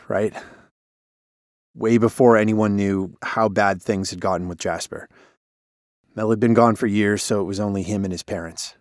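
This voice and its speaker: An actor playing a guilt-ridden, defensive former park warden in an audio drama, telling things in a weary, halting way. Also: male voice, deep voice, no echo, clean studio sound. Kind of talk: cinematic audio drama acting, naturalistic.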